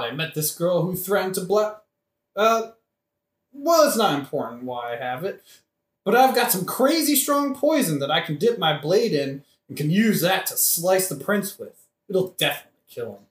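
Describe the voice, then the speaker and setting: A man playing a hot-headed young nobleman bent on revenge in a modern satirical audio play, excitable, blunt and colloquial. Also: high voice, moderate pace, echoey room. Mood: eager, plotting.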